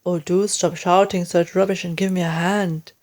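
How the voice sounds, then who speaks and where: A woman voicing a bossy, excitable father monkey in a children's storybook, snappy and urgent as he gives orders. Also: lowish voice, normal speed, natural voice. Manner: shouting orders, exclamatory.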